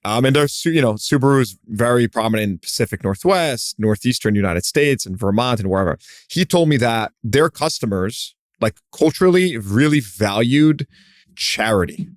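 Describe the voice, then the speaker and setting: A man's voice: mid-range voice, lively intonation, normal speed, no room sound, studio quality. A man hosting a business podcast, asking curious questions in a loose style full of fillers. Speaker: podcast host. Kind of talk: podcast interview.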